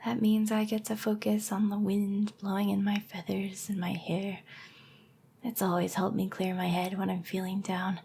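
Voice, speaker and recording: A woman voicing a young, clingy, lovesick harpy girl in an anime ASMR roleplay, with a breathy, often whispery voice and a hesitant, earnest delivery. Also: mid-range voice, darkish tone, heavily acted. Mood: calm, wistful. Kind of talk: anime ASMR roleplay acting, intimate address to listener.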